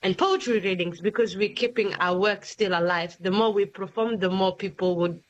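A woman's voice: mid-range voice, fairly even intonation, moderate pace, a little hiss or hum. Woman, from Somalia, poet guest, hesitant fillers, slightly dull recording. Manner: hesitant, many fillers, laughs often. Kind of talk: casual interview chat.

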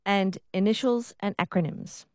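Woman, radio quiz host, dry close studio sound, warm banter with callers. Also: mid-range voice, moderate pace, dry recording. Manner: laughing often, friendly banter with callers.

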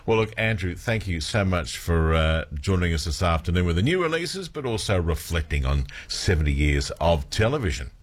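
Man, radio host, very low voice, relaxed and easygoing. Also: normal speed, few pauses. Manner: relaxed, easygoing questions with fillers. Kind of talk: casual radio chat.

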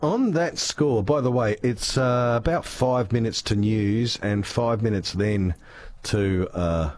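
A man with an Australian accent and a gravelly voice, hosting casual radio chat and reading out listener texts. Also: on the low side, slow pace, darkish tone, dry recording. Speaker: radio host.

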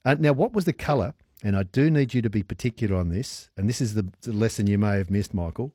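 A man's voice: fairly low pitch, expressive, fast, dark tone, no echo. An Australian man hosts a radio quiz with a teasing, jokey manner and frequent laughs. His voice is dry and close-miked, with no room around it. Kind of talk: casual on-air chat with callers.

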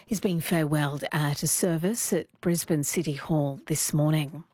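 A woman with an Australian accent reading a radio news bulletin in a measured way. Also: low-pitched, warm tone, dry recording, clean studio sound. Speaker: newsreader.

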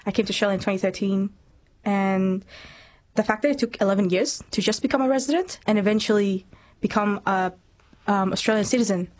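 A woman's voice: mid-range voice, brisk, darkish tone. A woman in her twenties from Myanmar, speaking earnestly and reflectively as an interview guest. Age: 20s. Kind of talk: personal testimony in interview.

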